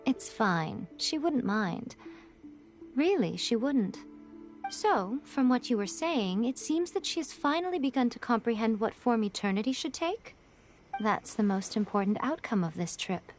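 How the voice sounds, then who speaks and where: A woman's voice: on the high side, expressive, a little slow, faint music behind. A woman voicing a sly, teasing female shrine priestess in a game cutscene, speaking with playful amusement. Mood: amused.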